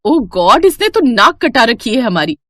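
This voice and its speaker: A woman voicing a harsh, contemptuous young daughter-in-law who scolds loudly. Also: fairly high pitch, sing-song, measured pace, no echo, clean studio sound, exaggerated voice. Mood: scornful.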